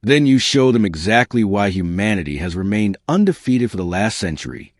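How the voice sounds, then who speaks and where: A man's voice: fairly low pitch, big pitch swings, fairly quick, dry recording, studio quality. A man voicing a stern, formal admiral in a science fiction audiobook. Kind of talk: audiobook character dialogue.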